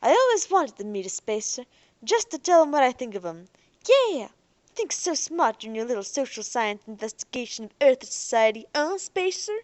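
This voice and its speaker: A woman's voice: high voice, sing-song, normal speed, darkish tone, dry recording, voice pushed up. A woman voicing a hostile young man leading a gang, sneering and taunting. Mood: contemptuous. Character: hostile young male Earther gang leader.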